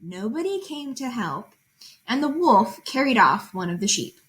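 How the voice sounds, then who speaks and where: A woman's voice: a little high, sing-song, a little slow, a bit bright. A woman reading fables aloud and explaining them as a teacher, in an informal, conversational way with guiding questions.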